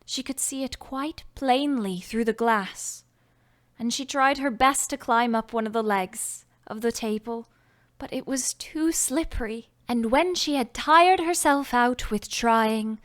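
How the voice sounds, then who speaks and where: A woman reading a children's classic aloud as an audiobook, gentle and expressive, sometimes dropping to a whisper. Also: a little high, slow. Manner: gentle, expressive storytelling, drops to whispers at times. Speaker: audiobook narrator. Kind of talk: audiobook narration.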